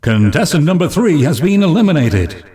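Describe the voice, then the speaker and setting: A man playing a formal quiz show announcer who reads out categories and questions in a measured way. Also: fairly high pitch, very animated, very noisy. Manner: measured, deliberate. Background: music.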